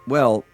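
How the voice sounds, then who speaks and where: An actor in a radio drama playing a loyal working-class newspaper typesetter with a folksy, plain-spoken manner. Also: male voice.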